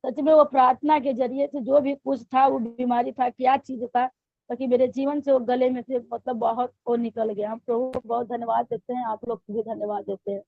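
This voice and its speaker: A woman with a hoarse, high voice tells her pastor her story in halting phrases full of fillers and restarts. Her tone is gravelly. The sound is dull and lo-fi, like call audio, with no room echo. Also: little pitch movement. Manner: hesitant, many fillers and restarts. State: hoarse throat. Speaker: church member giving testimony. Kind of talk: spoken testimony to a pastor.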